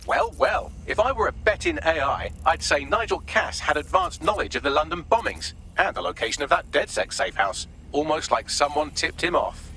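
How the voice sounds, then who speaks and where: A wry, talkative male AI assistant from a video game. His voice is bright and very animated, and he delivers analysis with dry sarcasm. There is faint noise behind him. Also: on the high side, moderate pace, hardly any pauses. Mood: dryly knowing. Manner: wry, sardonic, verbose.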